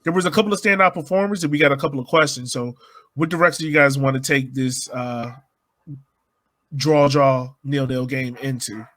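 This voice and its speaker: A man's voice: mid-range voice, steady tone, normal speed, a bit bright. A man hosting a sports podcast in a casual, conversational style.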